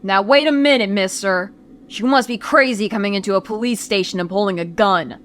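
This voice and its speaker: A woman's voice: medium pitch, brisk, a bit bright, a little hiss or hum, natural voice. A woman playing a no-nonsense police captain in an old-time radio crime drama, firm and curt. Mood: tense, demanding. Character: no-nonsense female police captain on night duty.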